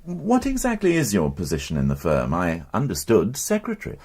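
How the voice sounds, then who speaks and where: A man playing a shrewd, courteous police inspector with an English accent in a British radio crime drama, probing formally with deliberate hesitations. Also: low voice, wildly swooping pitch, a little mellow, slight background noise, heavily acted.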